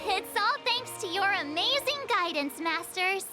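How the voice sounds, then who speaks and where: A woman voicing a bubbly, eager teenage girl sword apprentice in an anime game, chirpy, earnest and expressive. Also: very high-pitched, unhurried. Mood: eager, grateful. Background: music.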